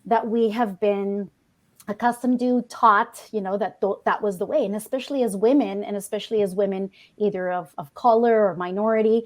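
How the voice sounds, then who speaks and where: A woman podcast guest from a Colombian family, telling her personal story conversationally in an animated way. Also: medium pitch, brisk. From Colombian household.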